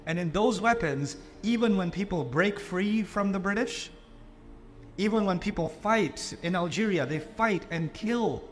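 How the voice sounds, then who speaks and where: A man from Pakistan giving an animated Islamic lecture to a live audience, often putting on mocking voices for the people he quotes. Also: sits high, a little slow, slight background noise.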